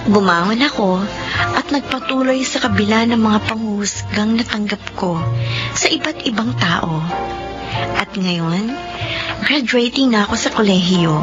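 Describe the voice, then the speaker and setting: A woman's voice: mid-range voice, measured pace, pauses often, lo-fi sound, lightly acted. A woman playing a wounded, earnest young single mother and student in an emotional, pleading radio drama. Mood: calm, resolved. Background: music.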